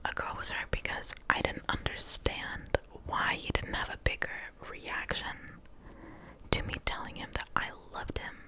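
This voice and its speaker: A young woman whose first language was Portuguese, telling a rambling, self-mocking story in a close-miked ASMR whisper. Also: normal speed. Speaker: ASMR creator.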